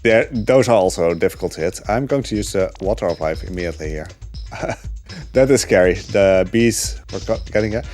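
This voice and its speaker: A man giving relaxed live commentary on his speedrun, explaining the route with frequent fillers. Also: low-pitched, brisk, faint music behind.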